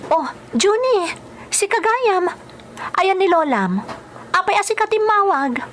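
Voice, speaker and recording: A woman's voice: very high-pitched, animated, unhurried, a little hiss or hum. An actress playing a cautious, anxious migrant housemaid and mother in a radio drama, earnest, with a Filipino accent. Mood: concerned, indulgent.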